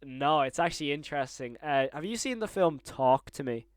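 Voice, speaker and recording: A man's voice: on the high side, lively intonation, a little fast, no echo, clean studio sound. A young man chatting casually as an enthusiastic, slangy host and interviewer. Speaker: YouTube horror reactor and interviewer, also a voice actor. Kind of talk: casual chat.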